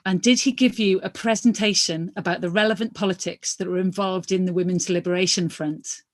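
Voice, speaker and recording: A woman's voice: fairly low pitch, fairly even intonation, a little fast. Woman reading out formal questions, stumbling slightly. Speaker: reader of a lawyer's questions. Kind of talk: live read-aloud of a hearing transcript.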